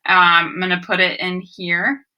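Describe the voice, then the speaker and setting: A woman's voice: lowish voice, level tone, unhurried, pushed and bright, clean tone, rough recording. A woman teacher giving a read-aloud phonics lesson, speaking directly to children in an instructional way and sounding words out with emphasis. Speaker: elementary school teacher.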